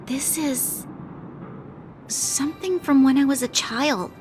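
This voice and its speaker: Game casting: earnest young noblewoman, heir to rule, higher register, formal and troubled, unsure of herself, music underneath. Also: slow. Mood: stunned realization. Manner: formal, hesitant, introspective. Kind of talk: video game voice acting. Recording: music behind the voice.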